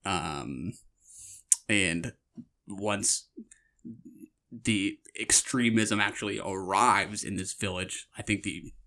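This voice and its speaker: A man co-hosting a film podcast, talking analytically but casually, with tentative pauses as he picks his words. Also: on the low side, bright, forceful tone.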